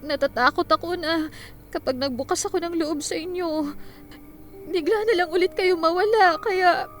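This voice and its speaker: A woman's voice: very high voice, normal speed, soft background music. A woman playing an anxious, vulnerable teenage daughter in a Filipino radio drama, earnest, respectful and emotional. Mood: apologetic, emotional. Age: young adult. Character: anxious, vulnerable nineteen-year-old pregnant daughter.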